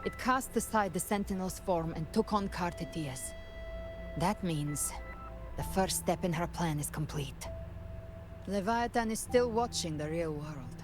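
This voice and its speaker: Low-voiced, solemn woman in a fantasy game. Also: animated. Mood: grave, guarded. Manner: grave, measured, dramatic delivery. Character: solemn, poised woman guiding a hero against dark forces. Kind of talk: scripted video game voice acting. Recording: game dialogue over clear background music. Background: game music.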